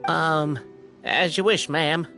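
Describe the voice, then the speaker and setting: A woman's voice: low-pitched, fairly even intonation, slow, a bit bright, faint music behind, full character voice. A woman playing a polite, formal train conductor in a video game, speaking like a courteous announcer. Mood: hesitant.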